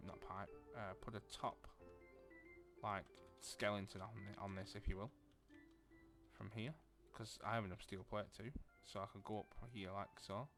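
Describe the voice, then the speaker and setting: A man's voice: on the low side, a bit bright. A man with a Lancashire accent giving casual commentary as he plays a building game, thinking aloud and muttering to himself. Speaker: gaming video creator.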